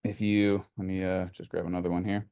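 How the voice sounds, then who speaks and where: A man's voice: deep voice, little pitch movement, a little fast, vocal fry, mellow. A man who is a researcher giving an informal academic lecture, explaining things in a conversational way with rhetorical questions and asides.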